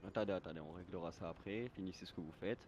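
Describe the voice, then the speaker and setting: A man's voice: low-pitched, rapid-fire, darkish tone. A man in casual online game voice chat, asking questions insistently. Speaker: role-play player and server staff. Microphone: gaming voice chat. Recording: dull, noisy game voice chat.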